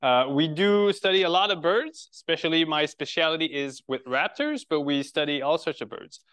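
A man's voice: on the high side, moderate pace, a bit bright, clear, resonant tone. A man in his forties from Quebec with a French-Canadian accent, giving a friendly lecture to a birding club with rhetorical questions and restarted phrases. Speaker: biologist giving a public talk. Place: lecture room.

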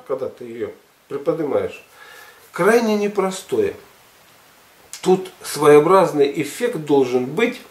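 A man in his sixties talking casually to camera in a halting, emphatic, colloquial way. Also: fairly high pitch, a little mellow. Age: 60s. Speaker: health and fitness vlogger. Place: furnished living room at home, indoors. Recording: echoey room, camera at a distance.

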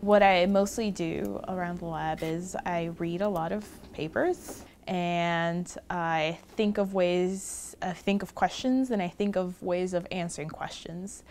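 A young woman from Puerto Rico, a research scholar, talks about her work in a documentary interview, pausing often with ums between phrases. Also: lowish voice, creaky, a little mellow, a little hiss or hum. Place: office lined with bookshelves.

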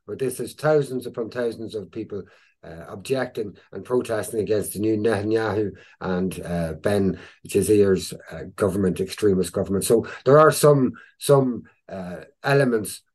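A man's voice: on the low side, a little mellow. A middle-aged Irish man talks through news clips on a video call, sounding openly opinionated. He often restarts his sentences and leans on fillers as he goes. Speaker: co-host presenting news clips. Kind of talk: casual commentary on a video call. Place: indoor room with a virtual backdrop. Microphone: video call webcam.

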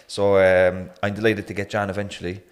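A man in his thirties hosting a podcast, relaxed and hesitant, with frequent ums. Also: low voice, fairly quick. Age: 30s. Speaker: podcast host. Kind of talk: casual interview.

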